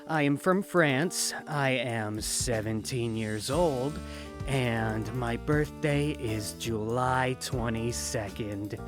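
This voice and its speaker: Man as a slow, suave teenage talent scout over music. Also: mid-range voice, natural voice. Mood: smooth, amused. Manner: calm, confident, silver-tongued. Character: suave laid-back French seventeen-year-old male talent scout. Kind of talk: audio drama character interview.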